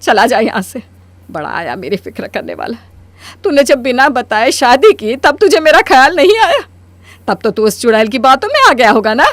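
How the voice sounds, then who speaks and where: Woman acting a melodramatic, scolding Indian mother-in-law, high-pitched. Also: big pitch swings, normal speed, dry recording. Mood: angry, stubborn. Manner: wailing, scolding, theatrical. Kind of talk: Hindi cartoon moral story acting.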